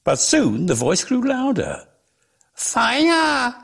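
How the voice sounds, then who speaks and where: A man giving a dramatic reading of a literary story in a measured, theatrical way, lingering on his words. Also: medium pitch, sing-song, gravelly, husky. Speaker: reader.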